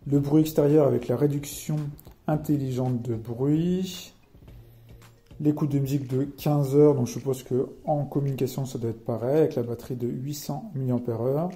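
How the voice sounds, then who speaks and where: A man with a French accent and a very soft, dark, relaxed tone gives a casual product walkthrough. His pitch sits in a middle range as he explains features and reads out specifications with his own commentary. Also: moderate pace, faint music behind. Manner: explanatory, reading specs aloud with commentary. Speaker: product reviewer.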